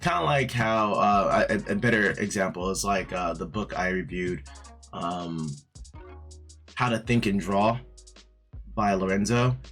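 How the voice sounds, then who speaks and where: A man reviewing an art book in a casual vlog, his voice raspy from just waking up, rambling with many fillers and restarts. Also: low-pitched. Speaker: art YouTuber. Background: soft background music.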